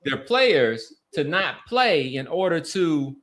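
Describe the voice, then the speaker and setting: A man hosting a podcast, talking casually about sports and society in an earnest, halting way with repeated words. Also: a little high, big pitch swings, a bit bright. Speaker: podcast host. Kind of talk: casual sports talk and commentary.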